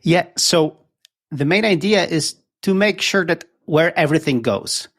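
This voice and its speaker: A man's voice: fairly high pitch, lively intonation, measured pace, fairly bright tone. Polish man explaining with enthusiasm. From Poland. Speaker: guest, app founder. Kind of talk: casual interview chat.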